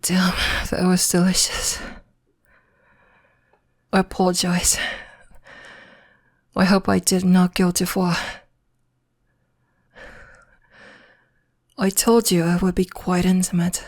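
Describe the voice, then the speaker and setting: Low, soft British vampire seductress, acted by a woman. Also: little pitch movement, unhurried, long pauses. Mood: hungry, intimate, then flirty, doting. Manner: formal, archaic, courteous phrasing. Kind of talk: ASMR roleplay.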